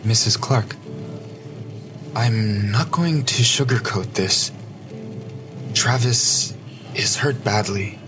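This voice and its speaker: A man's voice: on the low side, slow, pauses often. A man playing a grave, compassionate hospital doctor in an audio drama, speaking solemnly and with measure. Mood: grave, sympathetic. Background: music.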